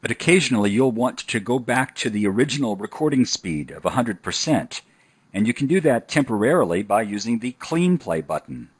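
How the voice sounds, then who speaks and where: A man narrating a scripted software tutorial in an instructional style. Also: mid-range voice, big pitch swings, a little fast, breathy edge, no room sound.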